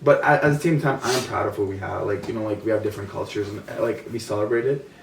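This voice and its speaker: A man's voice: lowish voice, fast, gravelly. A young man from India in a casual group discussion, rambling with many fillers. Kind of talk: casual chat. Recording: roomy, from a distance, faint noise.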